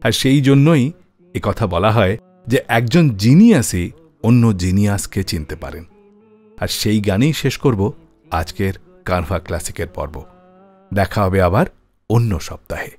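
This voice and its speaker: Radio host, man with very lively intonation. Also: fairly low pitch, moderate pace. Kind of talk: scripted music radio presenting. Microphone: close studio microphone.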